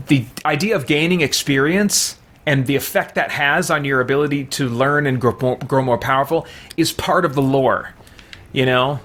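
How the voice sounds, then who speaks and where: A man's voice: mid-range voice, fairly bright tone. A man hosting a roleplay let's play, talking casually with playful, theatrical asides. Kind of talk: casual roleplay game commentary.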